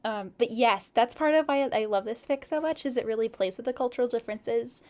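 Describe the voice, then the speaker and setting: A woman reading a story aloud expressively, in the relaxed, chatty way of a casual co-host. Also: a little high, fairly quick. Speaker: co-host of a fan fiction read-aloud stream.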